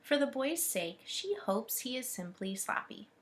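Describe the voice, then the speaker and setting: A woman reading aloud as a teacher, expressive and instructive, pausing to explain things. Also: on the high side. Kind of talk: read-aloud lesson with commentary.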